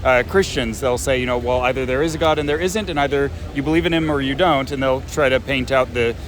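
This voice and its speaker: Man, medium pitch, casual podcaster talk, outdoors, faint background noise. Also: fast. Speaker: interview guest, podcaster. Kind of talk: casual chat. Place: outdoor hotel gardens.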